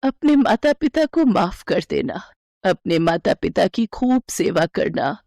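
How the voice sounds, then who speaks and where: A woman's voice: mid-range voice, big pitch swings, a little slow, vocal fry, dry recording, clean studio sound. Woman playing a weary, kind old grandmother. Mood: weary, forgiving. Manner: affectionate, gently reassuring elder's talk. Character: kind, weary eighty-year-old grandmother selling jamun.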